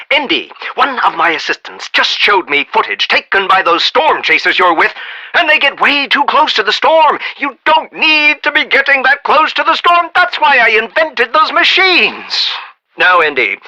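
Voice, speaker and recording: A demanding, eccentric inventor boss for a video game. His voice is high for a man and extremely bright and forceful, with big swoops in pitch. He talks in a folksy, theatrical, blustering way. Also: heavily acted, poor audio. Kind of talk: scripted game dialogue.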